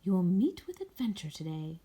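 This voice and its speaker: A woman voicing a knowing, warm-hearted fortune-teller in a storybook, with a musical, teasing way of speaking. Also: lowish voice, big pitch swings, normal speed, slightly rough. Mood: wailing, mysterious. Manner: wailing, lilting, teasing.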